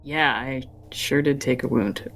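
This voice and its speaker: A woman's voice: rumbling low, a little slow, fairly bright tone. A woman playing a tabletop role-playing game, chatting casually and making wry, dry asides.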